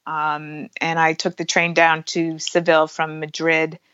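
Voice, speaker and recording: Female interview guest, slightly low register, enthusiastic and conversational; dry, close room sound. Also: monotone, measured pace, fairly bright tone, no room sound, highs cut off. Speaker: photographer and workshop teacher, interview guest. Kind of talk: casual interview chat.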